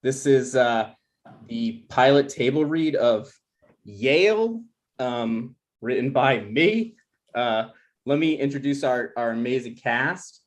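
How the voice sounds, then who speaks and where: A man's voice: mid-range voice, takes it slowly. A man hosts a script table read with a casual, hesitant introduction. His voice is mid-range. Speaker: host and writer introducing cast.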